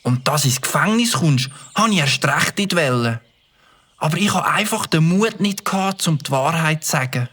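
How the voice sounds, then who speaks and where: An earnest young schoolboy in a children's radio play. His voice sits fairly high and moves up and down with plenty of expression. He sounds sheepish and good-hearted. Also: lively intonation, moderate pace, fairly bright tone. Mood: remorseful. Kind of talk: children's audio drama acting.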